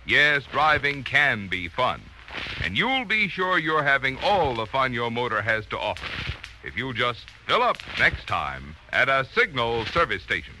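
A man's voice: medium pitch, very animated, a little slow, sharp tone. An American man announcing a 1940s radio commercial with polished, upbeat salesmanship on a crackly old recording. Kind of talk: 1940s radio advertising pitch.

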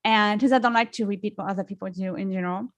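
A woman's voice: mid-range voice, fairly even intonation, fast, no echo, muffled recording. A middle-aged woman with a French accent, chatting as a songwriter guest in an interview, with many fillers and restarts. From France. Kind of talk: casual interview chat.

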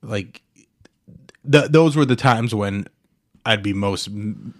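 A middle-aged man co-hosting a podcast, telling stories casually in halting, sweary talk full of filler words. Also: lowish voice. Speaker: podcast co-host. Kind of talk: casual conversational storytelling.

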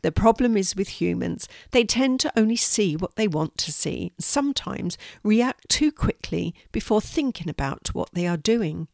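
Woman voicing a gentle, slow-spoken storybook animal. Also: medium pitch, creaky, dry recording, clean studio sound. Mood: thoughtful. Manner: gentle, calm, reassuring. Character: kind male stable animal, gentle and reassuring. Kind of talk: children's storybook character voice.

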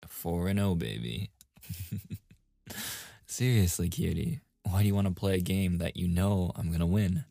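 A man's voice: low-pitched, expressive, a little slow, gravelly, pauses often, dark tone, no echo, studio quality, heavily acted. A man playing a playful, easily flustered young gamer boyfriend in a casual, intimate ASMR roleplay. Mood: cocky, teasing. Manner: casual, intimate, with chuckles and sighs.

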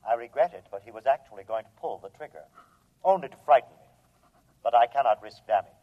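A man's voice: mid-range voice, lively intonation, fast, natural voice. Man acting a coldly polite, superior humanoid robot. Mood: coldly reassuring. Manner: formal, precise, matter-of-fact speech without contractions. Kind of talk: old-time radio drama acting. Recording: dull, lo-fi old radio recording.

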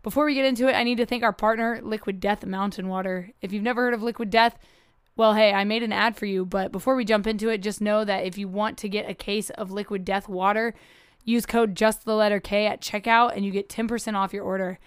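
A woman hosting a podcast in a casual, chatty way, her voice a little hoarse from a cough. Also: medium pitch, steady tone, brisk, no room sound, clean studio sound. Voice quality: upbeat, chatty. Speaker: podcast host.

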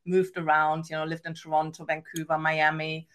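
A woman's voice: sits low, monotone, moderate pace, fairly bright tone. A middle-aged woman from Germany with a German accent touched by British, chatting casually as an interview guest, full of fillers. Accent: German, with a trace of British. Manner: chatty, filler-heavy, frequent little laughs. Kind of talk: casual live interview chat.

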